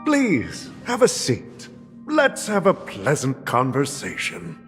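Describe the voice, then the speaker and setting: An actor playing a smooth-talking, scheming older tycoon in a video game, florid and unctuous as he plays host. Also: medium pitch, huge pitch swings, a bit bright, heavily acted. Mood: effusive welcome. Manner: florid, unctuous, slow and deliberate. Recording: long hall reverb, faint music.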